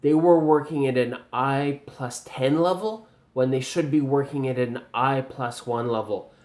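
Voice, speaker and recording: A man with a general Canadian accent speaks slowly and deliberately, like a teacher giving feedback. He pauses often and fills gaps with ums. His voice sits at an ordinary pitch for a man. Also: darkish tone. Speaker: video commentator reviewing pronunciation. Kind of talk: slow spoken video response.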